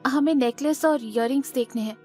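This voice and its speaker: A woman playing a family shopper on a budget in audio drama dialogue, earnest and expressive, mixing in English words. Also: sits high, a little fast, faint music behind. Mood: practical. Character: women of a middle-class family out shopping on a budget.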